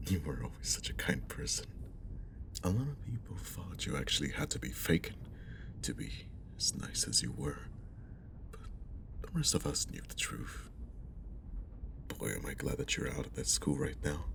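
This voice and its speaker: A man playing a friendly, caring young old school friend in an ASMR roleplay, speaking softly and hesitantly with a breathy half-whisper, close to the mic. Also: very deep voice, animated, moderate pace, long pauses, background noise, exaggerated voice. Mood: gentle, reassuring. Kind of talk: ASMR roleplay, intimate one-sided conversation.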